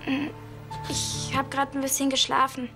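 A young actress playing a touchy, homesick teenage exchange student in a naturalistic TV drama. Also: female voice, sits high, completely flat delivery, a little slow, over music. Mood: subdued. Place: furnished rooms, indoors.

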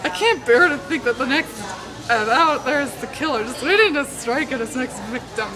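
Crowd noise surrounds a woman acting out a brooding romance-novel knight. He is boastful and a little tipsy, drawing out his grand words melodramatically. The voice is fairly high for the part. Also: lively intonation, normal speed, over music, poor audio. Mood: grandiose, vengeful. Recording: busy public space with loud crowd murmur.

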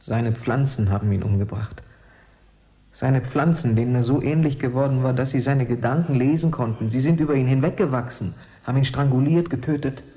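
A man's voice: mid-range voice, brisk, warm tone, close to an everyday voice. A man acting as a shaken, stubbornly loyal biologist in a German radio play, earnest and grave. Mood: grave, haunted.

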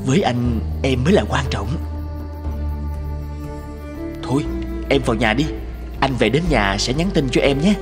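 An actor playing a smug, callous young playboy boyfriend in an animated drama, with a slick, mocking manner. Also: male voice, medium pitch, fairly quick, over music, lightly acted. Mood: sweet-talking. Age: young adult.

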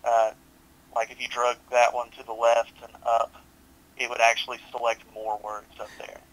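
A man's voice: mid-range voice, completely flat delivery, moderate pace, fairly bright tone, poor audio. A young man working as a tech support advisor on a headset, politely and informally walking a customer through steps. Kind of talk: customer service walkthrough. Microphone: headset on a video support call.

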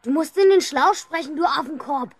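Mocking schoolboy heckler, 1970s radio drama, high voice, impatient. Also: normal speed, no echo. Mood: scornful. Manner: sneering, impatient. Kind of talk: 1970s German children's radio play acting.

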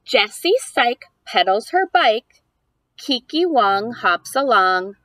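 High, animated woman teaching young children. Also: slow, no echo. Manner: exaggerated sing-song child-directed delivery with questions. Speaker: preschool teacher. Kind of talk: read-aloud for young children. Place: outdoors on a house porch.

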